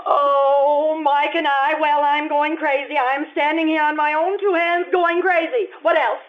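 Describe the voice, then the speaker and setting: A woman acting a high-voiced, flustered society bride. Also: steady tone, a little slow. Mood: bewildered. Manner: stammering, gushing, theatrical. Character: flustered, breathless young society bride, excitable and dramatic. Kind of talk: old-time radio acting, live stage performance. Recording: dull, lo-fi stage radio recording.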